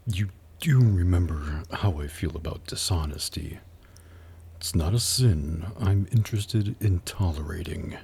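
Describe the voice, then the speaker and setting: A man playing a suave, haughty demon buyer in an ASMR roleplay, speaking in a low, husky, gravelly voice with teasing, sardonic pauses. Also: warm tone, full character voice. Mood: stern, doubtful. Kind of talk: ASMR roleplay audio drama.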